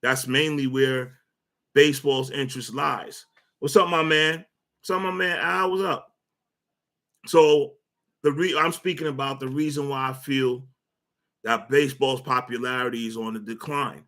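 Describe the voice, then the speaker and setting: A man's voice: medium pitch, fairly even intonation, a little slow, fairly bright tone. A man delivers a loose, rambling sports rant, stating his opinions bluntly. He trips over his words, repeats himself and fills the gaps with "uh" and "you know" as he works toward his point. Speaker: sports commentary host.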